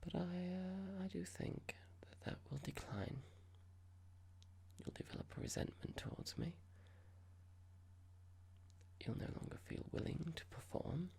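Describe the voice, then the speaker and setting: A performer playing a horrible, condescending young corporate boss in an ASMR roleplay, speaking in a breathy whisper with a measured, pompous, formal manner. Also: medium pitch, a little mellow, full character voice. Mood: cold, dismissive. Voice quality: breathy, gravelly whisper with clicks.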